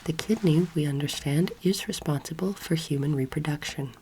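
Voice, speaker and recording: Soft, breathy young woman in a low, even murmur. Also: slight background noise, poor audio. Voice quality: soft, breathy, often half-whispered. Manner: gentle, measured, instructive. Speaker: ASMR performer role-playing an alien anatomy teacher. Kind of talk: soft-spoken ASMR roleplay lecture. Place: indoor room, seated close to camera. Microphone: close microphone. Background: soft clicking, crinkling and squishing sounds.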